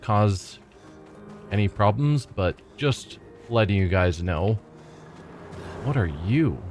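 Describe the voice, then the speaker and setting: A man's voice: lowish voice, lively intonation, slow, a little mellow. A congested man commentating casually as he plays a video game, musing and chatting as he goes. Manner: musing, chatty, thinking aloud. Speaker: streamer playing a game. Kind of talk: casual let's play commentary. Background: game music.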